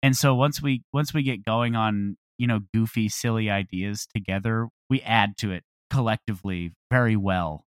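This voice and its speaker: A man's voice: on the low side, normal speed, hardly any pauses, clear, resonant tone, no echo. A man talking casually as an interviewed creator of a comedy show.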